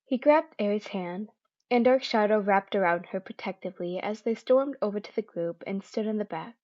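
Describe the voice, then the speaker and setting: A woman's voice: medium pitch, normal speed, darkish tone, lo-fi sound. A young woman reading fan fiction aloud at home, chatty and casual, with frequent fillers and self-corrections. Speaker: podfic reader.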